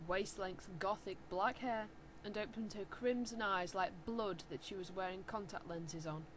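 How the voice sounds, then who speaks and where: A woman's voice: mid-range voice, background noise. A woman giving a theatrical, mock-serious dramatic reading of fan fiction as narrator. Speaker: reader.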